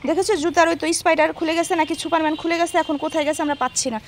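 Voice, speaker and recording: A woman's voice: very high-pitched, level tone, racing. A young woman chatting casually with small children and viewers, coaxing with simple, repeated instructions. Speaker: mother filming a family vlog of young children. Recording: clear background noise.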